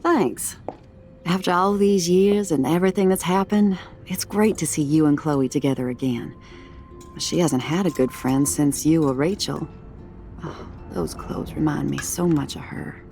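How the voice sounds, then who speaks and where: Casting: plain-spoken middle-aged American mom, motherly and wry, lower register, game dialogue with music behind. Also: a little slow, gravelly, darkish tone. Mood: wistful, hopeful. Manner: folksy, motherly, teasing. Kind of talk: video game acting, conversational dialogue. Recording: music under the voice. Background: background music.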